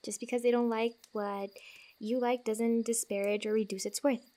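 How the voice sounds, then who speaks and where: Girl acting as a sweet wanderer lady in an asmr fantasy roleplay, higher soft voice, giggly n teasing, kinda rambles while comforting you. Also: level tone, normal speed, close to an everyday voice. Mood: encouraging. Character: friendly, teasing, reassuring female wanderer in fantasy woods.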